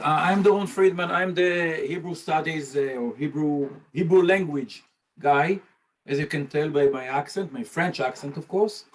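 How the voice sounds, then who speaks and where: Joking man teaching Hebrew over a video call. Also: on the high side. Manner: joking, conversational, talks straight to students. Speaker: Hebrew language instructor. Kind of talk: informal presentation on a video call.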